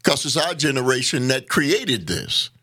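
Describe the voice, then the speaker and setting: A man speaking earnestly as a guest in a casual conversation, halting and repeating words as he builds his point. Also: medium pitch, very animated, sharp tone, slightly rough, no room sound.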